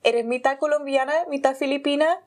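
Woman, podcast host, curious questions, higher voice, mellow tone. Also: steady tone, brisk, slight background noise. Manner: curious, asks short follow-up questions. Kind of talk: interview questions and show intro.

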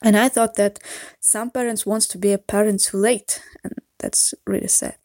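A woman's voice: mid-range voice, steady tone, moderate pace, darkish tone, no room sound, clean studio sound. A woman from Russia with a Russian accent, a native Russian speaker, leaving a careful, earnest voicemail in English. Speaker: voicemail caller. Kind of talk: recorded voicemail message.

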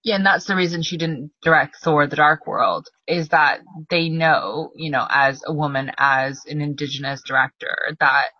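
Low bright-edged woman chatting films, dry dull lo-fi mic. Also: steady tone, moderate pace, few pauses, dry recording. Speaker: podcast co-host. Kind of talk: casual chat about films.

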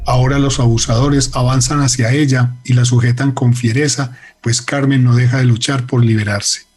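A man's voice: medium pitch, steady tone, normal speed, a little mellow, breathy edge. A man narrating a radio drama in a solemn, literary, dramatic manner.

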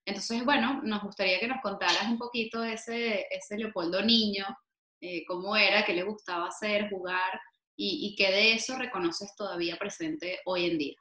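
A woman's voice: medium pitch, fairly even intonation, reverberant. A woman from Venezuela hosting a podcast interview, asking questions with frequent tag questions. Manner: measured, warm-toned questions with frequent "¿no?" tags. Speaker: podcast host.

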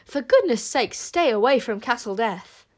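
A woman's voice: fairly high pitch, lively intonation, normal speed, pitched above the performer's own voice, natural voice. Woman growling as an exasperated two-headed werewolf. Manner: growling, roaring and grunting.

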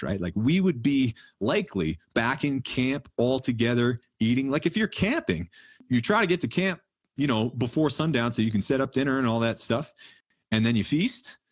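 A man in his thirties hosting a casual livestream Q&A, answering listener questions in a relaxed, rambling way and laughing often. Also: medium pitch, breathy edge, no echo, clean studio sound. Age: 30s. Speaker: livestream Q&A host. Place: home in the mountains.

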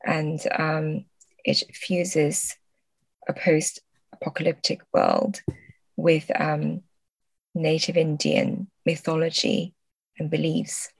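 A woman's voice: sits low, level tone, takes it slowly, gravelly, pauses often, breathy edge, no echo, rough recording. A woman speaking as a literary translator in an interview, reflective and hesitant as she searches for words.

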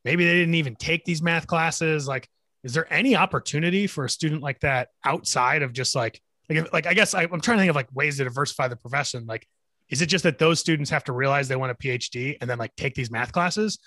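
Quick-talking guy co-host, kinda high voice, lots of "like", dry close mic. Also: bright, forceful tone, no echo. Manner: quick, filler-heavy, thinking aloud. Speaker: podcast co-host. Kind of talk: interview questions.

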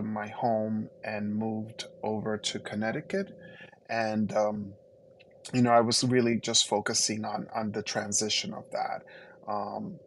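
A man from the Dominican Republic, Spanish his first language, speaks in a lowish, gravelly voice. He talks reflectively with many fillers and restarts as an interview guest. Faint noise hums behind him. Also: rough recording. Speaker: podcast guest. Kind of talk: conversational interview storytelling.

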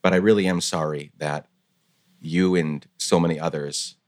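Deep-voiced reflective male host. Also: steady tone, normal speed, darkish tone. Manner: reflective, empathetic, emphatic repetitions. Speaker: podcast host. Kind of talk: conversational interview.

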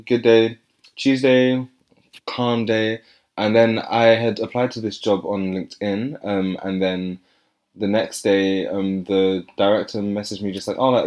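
A young man, chatting casually as a podcast co-host and telling stories with lively quoted voices. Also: on the low side. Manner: chatty storytelling, animated when quoting others. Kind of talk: casual chat.

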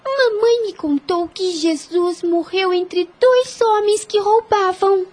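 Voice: very high-pitched, slow, darkish tone. An actor playing a devout, innocent and inquisitive little girl in a radio soap opera, speaking in an earnest, childlike way. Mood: pleading.

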